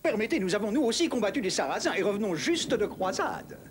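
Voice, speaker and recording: A man's voice: sits high, very animated, quick pace, noisy background. A man playing an arrogant, vengeful knight in a cartoon, with a sardonic manner. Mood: boastful. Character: haughty, vengeful Norman Templar knight villain. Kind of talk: dubbed cartoon acting.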